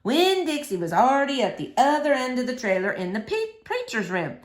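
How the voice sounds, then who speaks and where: A woman reading a children's novel aloud in an expressive, animated storytelling manner. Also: high-pitched, fairly quick. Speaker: storybook reader.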